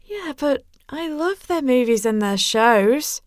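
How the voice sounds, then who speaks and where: A woman's voice: high-pitched, expressive, takes it slowly, no room sound, clean studio sound. A woman playing an easily impressed member of a friend group in an audio play.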